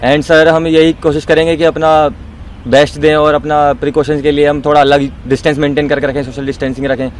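A man's voice: fairly high pitch, little pitch movement, fairly quick, slight background noise. A young male athlete answering a reporter's questions at an outdoor stadium, eager, mixing English words in. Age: young adult. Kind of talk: street interview. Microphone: handheld reporter mic.

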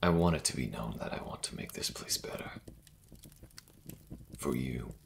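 A man playing an idealistic, protective crime boss in an ASMR fantasy roleplay, speaking slowly and intimately in a breathy half-whisper. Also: very deep voice, lively intonation, background noise, heavily acted. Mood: tender, reassuring. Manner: slow, intimate, measured, formal wording.

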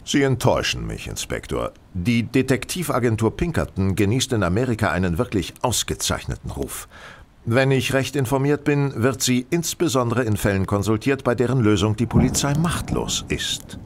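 An actor playing a dry, condescending master detective with formal speech in a German radio play. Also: male voice, medium pitch, big pitch swings, moderate pace. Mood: dry, superior.

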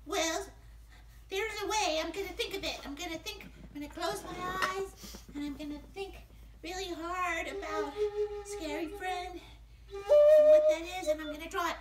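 A brave little puppet boy, acted by a woman, speaks in an extremely high, swooping voice. He is boastful, earnest and childlike, in a live puppet show with a roomy echo and music behind him. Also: animated, normal speed. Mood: thoughtful.

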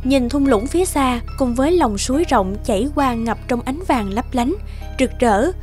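A woman narrating a scripted children's fairy tale with expressive storytelling. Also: sits high, moderate pace, faint music behind.

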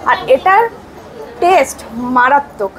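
A woman's voice: sits high, animated, slow, poor audio. A woman food vlogger, chatting casually and enthusiastically about dishes in a restaurant, repeating her praise. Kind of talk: casual food review chat. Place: busy restaurant. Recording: crowded restaurant noise. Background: crowd chatter.

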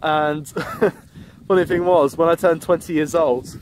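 A man's voice: a little high, fairly even intonation, slow, poor audio. Rambling young man. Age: 20s. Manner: rambling, many restarts and self-corrections. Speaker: vlogger. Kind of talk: rambling casual vlog. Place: outdoors on a windy grassy embankment. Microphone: phone held at arm's length. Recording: phone audio with loud wind noise.

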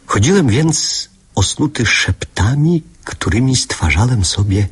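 A man's voice: mid-range voice, animated, a little slow, slightly rough. A man playing a reflective narrator recalling his boyhood in a lyrical, literary radio drama. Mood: wistful reflective.

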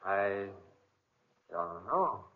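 An actor playing a drugged, dazed man lost in madness, speaking in a vacant, halting, repetitive way in a 1940s radio drama. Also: deep voice, sing-song, full character voice. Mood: dazed, eerie. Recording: tinny, thin 1940s radio recording.

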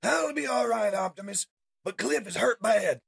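A man's voice: high-pitched, lively intonation, normal speed, gravelly, a bit bright, husky, no room sound, clean studio sound, voice pushed up, heavily acted. A man voicing a defiant, loyal robot prisoner in a spirited, strained manner for a comic-book story. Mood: strained concern.

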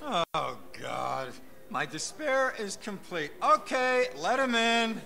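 A miserable, sarcastic game boss. The voice is high and piercing, lurching theatrically up and down in exasperated whines. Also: male voice, big pitch swings, slow pace, vocal fry, pushed and bright, full character voice. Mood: despairing. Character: despairing, melodramatic, whiny, exasperated boss with a headache. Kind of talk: video game cutscene acting.

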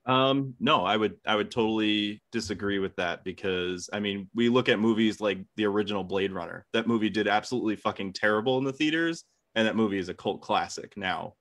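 A man's voice: fairly low pitch, a little fast, few pauses, a bit bright, no room sound, studio quality. A young man giving his opinion in a casual, conversational debate. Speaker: debate contestant. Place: room at home, video call.

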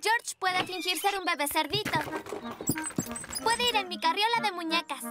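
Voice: very high voice, moderate pace, heavy background noise. An actor in a children's cartoon dub playing a friendly, playful older girl pig, childlike and eager.

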